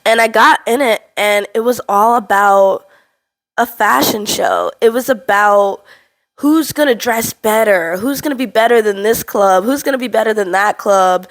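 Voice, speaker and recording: A woman in her twenties speaks to her camera in a casual, frank vlog, her voice a little stuffy from a cold. She tells her story in an animated, candid way, her pitch sitting in an ordinary middle range. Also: normal speed. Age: 20s. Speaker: vlogger.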